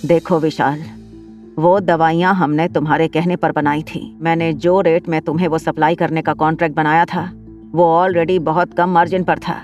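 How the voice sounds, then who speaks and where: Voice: mid-range voice, little pitch movement, moderate pace, faint music behind, natural voice. Narrator doing a desperate middle-aged man. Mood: pleading. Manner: pleading then angry.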